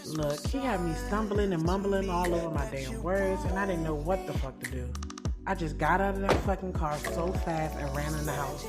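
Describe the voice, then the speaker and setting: A woman's voice: fairly low pitch, normal speed, close to an everyday voice. A woman playing a sassy, candid young woman in an audio drama, telling her story in a casual, slangy way, swearing freely. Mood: flustered. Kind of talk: audio drama first-person narration and dialogue. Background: music.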